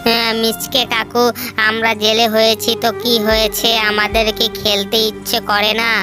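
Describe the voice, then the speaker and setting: Man acting innocent little boy, highish voice. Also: completely flat delivery, normal speed, music in the background, natural voice. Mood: hurt, plaintive. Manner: childlike, plaintive questioning. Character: innocent, hurt young boy from fishing family. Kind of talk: cartoon voice acting.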